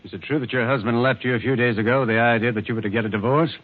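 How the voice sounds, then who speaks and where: An actor playing a businesslike police captain, formal and clipped in questioning, in 1940s radio drama style. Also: male voice, mid-range voice, steady tone, very fast, natural voice. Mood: stern, probing. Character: businesslike male police captain interrogating a suspect. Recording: tinny 1940s radio broadcast.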